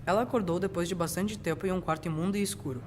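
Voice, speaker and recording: A man's voice: high-pitched, very fast, darkish tone. A young teenage boy reading scripted narration for an amateur radio drama. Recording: noisy amateur school recording.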